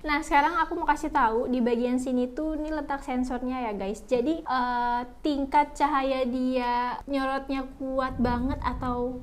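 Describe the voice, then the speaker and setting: High-pitched young woman reviewing products. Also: steady tone, measured pace, a little hiss or hum. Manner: chatty, informal, addresses viewers often. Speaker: product review video host. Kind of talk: casual unboxing and product review chat.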